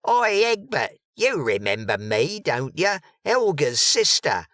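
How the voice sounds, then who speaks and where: A man's voice: a little high, very animated, slow pace, fairly bright tone, dry recording, clean studio sound, voice pushed up, exaggerated voice. A man doing a brash East London woman. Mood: aggressive.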